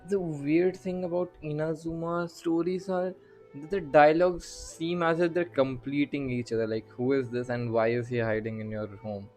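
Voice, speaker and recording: A man's voice: on the high side, level tone, moderate pace, a little mellow. A man doing casual, offhand let's-play commentary as a gaming streamer, with faint music behind. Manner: offhand, swearing reactions.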